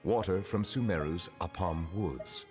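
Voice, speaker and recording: An actor playing a dignified dragon chief justice in human form for a video game, speaking in a formal, measured, earnest way. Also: male voice, sits low, soft background music. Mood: earnest connoisseur.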